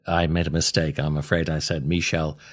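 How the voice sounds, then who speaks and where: An older man hosting a podcast, reading scripted comic stories and verse in a theatrical, deliberate way with dramatic pauses. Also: rumbling low, level tone. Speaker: podcast host, writer and actor.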